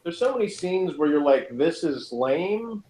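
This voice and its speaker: A man's voice: on the high side, lively intonation, measured pace, mellow. A man in a casual, opinionated discussion, rambling and qualifying his points, with some exaggeration for effect. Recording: roomy, echoing sound.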